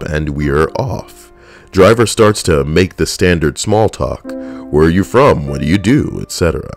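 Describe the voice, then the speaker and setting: A man's voice: rumbling low, creaky. A young adult man, a military veteran, telling a personal story in a blunt, profane, conversational way.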